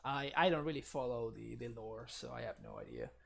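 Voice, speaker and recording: A man's voice: mid-range voice, huge pitch swings, fairly quick, creaky, a bit bright, slightly rough. Young spanish-accented guy streaming a card game, casual chatty, laughs a lot, lots of ums. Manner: chatty, laughs often, talks to chat. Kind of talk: casual live-stream commentary.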